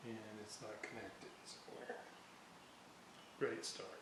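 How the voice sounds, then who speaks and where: A man giving an unscripted workbench walkthrough of his repair, halting and thinking aloud as he goes. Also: lowish voice, little pitch movement. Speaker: hobbyist radio restorer narrating his repair. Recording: roomy echo, background noise, uneven level.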